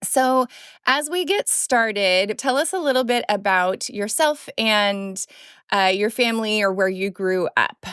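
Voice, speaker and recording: Sex: woman. Role: podcast host. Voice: smooth, dry. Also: medium pitch, a little slow, a bit bright, no echo. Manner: engaged listener with frequent back-channel murmurs. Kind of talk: interview questions.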